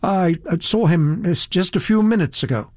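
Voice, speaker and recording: A man voicing a cautious elderly gentleman who stammers and apologises in an audiobook story. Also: high-pitched, normal speed, no echo, voice pushed up, natural voice. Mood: cautious, flustered. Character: fussy, cautious, hesitant elderly English gentleman.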